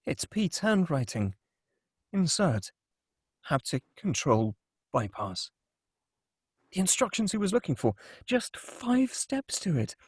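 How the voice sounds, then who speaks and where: A man narrates a script at a table read, reading stage directions. His voice sits a little high for a man, with a husky, unclear edge. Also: very animated, slow pace, long pauses, dry recording, studio quality.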